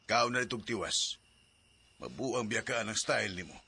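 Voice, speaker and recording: A man's voice: lowish voice, moderate pace, natural voice. A man playing a middle-aged father who scolds his son and gives him advice about love. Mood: scolding. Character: middle-aged father, nagging but caring. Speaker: radio drama actor.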